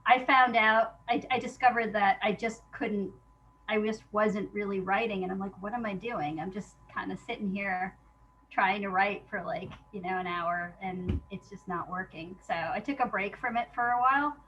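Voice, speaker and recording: A woman's voice: mid-range voice, normal speed, highs cut off, a little hiss or hum. A woman talking casually in an interview, chatty and self-deprecating in an informal way. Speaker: playwright being interviewed.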